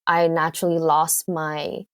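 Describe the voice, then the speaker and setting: A woman's voice: sits low, little pitch movement, a little slow, fairly bright tone, no room sound, clean studio sound. A woman from the United Kingdom with a Canadian accent, a guest in a casual interview, talking thoughtfully and hesitantly with lots of fillers.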